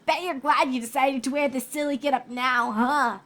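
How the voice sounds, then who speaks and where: A woman's voice: sits high, fairly even intonation, normal speed, fairly bright tone, pitched above the performer's own voice. A woman voicing a plucky young filly pony in a fan story reading. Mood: teasing.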